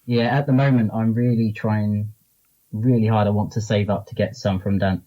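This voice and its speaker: A man's voice: fairly low pitch, steady tone, moderate pace, dark tone, muffled recording. A man being interviewed as a guest, talking casually and informally in loose, rambling explanations. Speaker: interview guest, comics charity founder and publisher.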